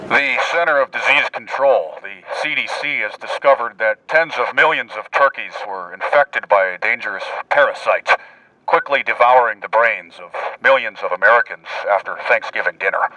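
A man's voice: medium pitch, normal speed, sharp tone, dry recording, rough recording. A man giving mocking, declamatory mock-news narration through a bullhorn, outdoors. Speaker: prankster video host.

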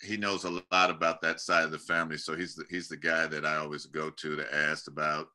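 A man's voice: extremely low pitch, level tone, a little fast, sharp tone. A middle-aged man from California hosting a community video call, chatting casually with many restarts and fillers, speaking from a home study over a webcam. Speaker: host of church community video-call talk. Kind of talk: casual interview chat. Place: home study lined with bookshelves.

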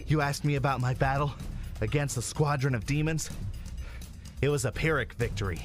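A man's voice: mid-range voice, normal speed, faint music behind, lightly acted. A man acting a stern, defiant warrior of angelic blood in a dramatic audio drama. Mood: solemn.

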